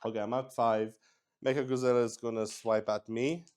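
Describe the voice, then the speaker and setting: A man's voice: mid-range voice, level tone, moderate pace, a little mellow. A man narrating a solo tabletop roleplaying game casually, thinking aloud, mocking himself and swearing freely. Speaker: solo player and game master.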